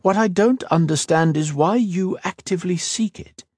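A man voicing a shapeshifting creature that has taken the form of an elf woman, speaking in a soft, measured, coaxing way. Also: high voice, no room sound, pitched above the performer's own voice. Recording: dry close studio read.

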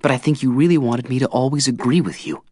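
A man's voice: mid-range voice, quick pace, no room sound, studio quality, pitched above the performer's own voice, natural voice. A man voicing a defensive young man arguing hotly with his girlfriend. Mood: heated. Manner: heated, rising to shouting.

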